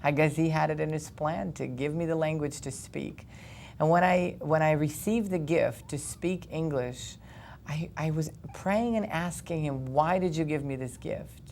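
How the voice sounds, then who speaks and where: A young man speaks English with a gravelly, creaky edge. He tells his story earnestly, picking up speed in animated bursts, with a faint rumble in the background. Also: a little slow. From Ukraine. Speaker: guest. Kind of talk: personal testimony in a studio interview.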